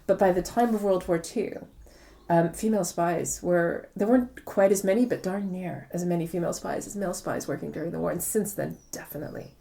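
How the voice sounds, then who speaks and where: A woman's voice: lowish voice, a little fast, gravelly, dark tone. A woman speaking conversationally as an interviewed author, explaining things reflectively and repeating words for emphasis. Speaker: interviewed novelist.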